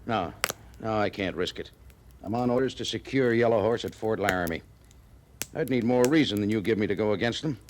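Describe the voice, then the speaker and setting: An actor playing a firm, weary, duty-bound army major in a 1950s radio western, deliberate and formal, on a vintage recording. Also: male voice, lowish voice, slight background noise, natural voice. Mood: firm, troubled.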